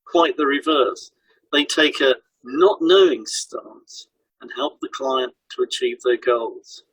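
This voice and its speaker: A man's voice: medium pitch, takes it slowly, a bit bright, slightly rough, no echo, rough recording. A man co-hosting a podcast as a hypnotherapist, reading scripted explanations in an instructional way.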